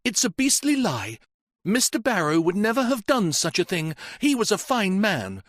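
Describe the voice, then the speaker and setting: A man's voice: sits high, huge pitch swings, normal speed, pitched above the performer's own voice, exaggerated voice. A man voicing a distraught, grieving young woman who speaks in impassioned, tearful outbursts.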